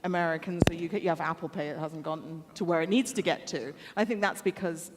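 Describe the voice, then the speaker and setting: A woman's voice: on the low side, fast talker, background noise. A woman from Hong Kong speaking on a conference panel, telling anecdotes and addressing the audience directly. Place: large conference hall. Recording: hall reverb.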